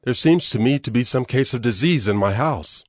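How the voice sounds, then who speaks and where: A man's voice: medium pitch, expressive, moderate pace, long reverb tail, lightly acted. A man playing a worried house owner. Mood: earnest. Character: worried male house owner reporting to a priest.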